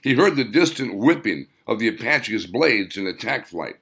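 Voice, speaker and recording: A man's voice: lowish voice, big pitch swings, moderate pace, creaky, a bit bright. A man reading his own novel aloud as scripted audiobook narration, with dramatic, emphatic phrasing.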